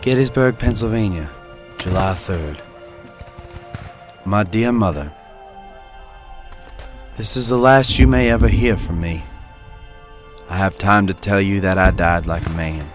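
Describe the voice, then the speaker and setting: A man playing a dying young Confederate soldier in a solemn, measured dramatic reading of his last letter home. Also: sits low, faint music behind. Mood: solemn, resigned.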